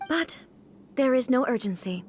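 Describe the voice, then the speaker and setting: A woman acting a composed, aloof immortal ruler in a video game, with formal, measured, dignified diction. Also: sits high, lively intonation, a little mellow, a little hiss or hum. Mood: solemn.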